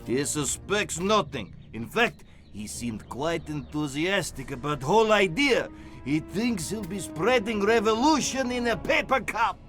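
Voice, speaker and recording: Shrill, excitable Russian henchman. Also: unhurried, faint music behind. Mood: eager, gloating. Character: excitable, spiteful male Soviet henchman. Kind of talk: video game cartoonish acting.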